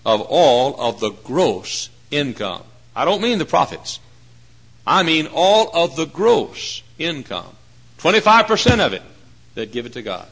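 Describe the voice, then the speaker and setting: A man in his forties preaching a folksy, humorous sermon, swinging between hushed asides and loud emphatic points. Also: mid-range voice, gravelly. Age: 40s. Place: church.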